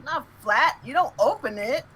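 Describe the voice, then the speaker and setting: A woman's voice: high voice, expressive, normal speed, sharp tone, a little hiss or hum. A woman making casual, offhand chat as a player in a tabletop game.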